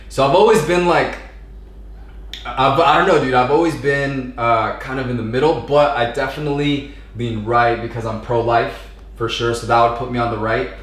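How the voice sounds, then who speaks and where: A man in his thirties from Southern California chats as a podcast guest. He rambles easily, with filler words and slang, in a mid-pitched voice. The sound bounces around a noticeably echoey room. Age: 30s. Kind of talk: casual conversation.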